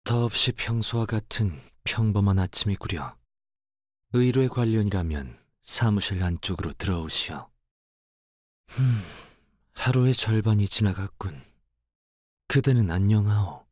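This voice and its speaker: Game casting: dutiful young fixer, lowish voice, archaic formal diction, reserved and melancholy, very dry close sound. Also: male voice, lively intonation, measured pace, long pauses, a little mellow, no echo. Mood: calm, courteous. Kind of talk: scripted game character voice lines.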